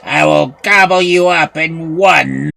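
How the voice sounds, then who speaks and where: A woman's voice: sits low, slow, vocal fry, pushed and bright, no echo, clean studio sound, pitched above the performer's own voice, exaggerated voice. A woman voicing a menacing, commanding old witch in a storybook tale, furious and full of threats.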